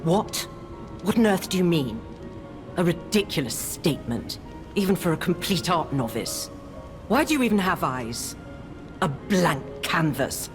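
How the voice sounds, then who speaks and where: An imperious, obsessive artist from a video game. Her voice is low and grave, each phrase deliberately placed, with clearly audible music behind her. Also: sing-song. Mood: scornful. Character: haughty, obsessive blind artist, former scientist.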